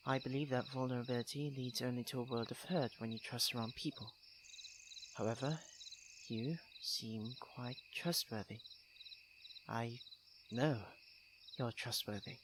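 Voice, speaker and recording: A man's voice: mid-range voice, lightly acted. A man playing a young tsundere prince, haughty yet tender-hearted, speaking formally and intimately to the listener in an ASMR roleplay. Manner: formal, princely, precise wording. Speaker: roleplay voice actor. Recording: clear ambient noise with chirping crickets. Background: night crickets and insects.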